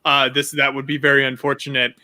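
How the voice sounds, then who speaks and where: A man's voice: medium pitch, completely flat delivery, fast talker, bright, forceful tone. A man co-hosting a live video-call stream, easygoing and friendly, with lots of "uh" fillers and light teasing. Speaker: co-host. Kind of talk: casual live-stream chat.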